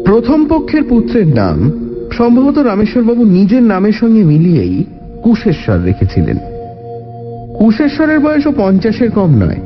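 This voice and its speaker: A man narrating a story in the first person, with an expressive, deliberate dramatic delivery. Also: high voice, muffled recording. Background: faint music.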